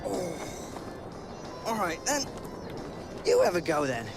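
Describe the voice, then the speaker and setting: An actor playing a cheery, careless British stoner and amateur chemist in a video game, chirpy and blasé. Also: male voice, high voice, wildly swooping pitch, slow pace, vocal fry, loud music behind the voice, exaggerated voice. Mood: blithe. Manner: chirpy, blasé, mock-formal at times. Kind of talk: video game cutscene acting.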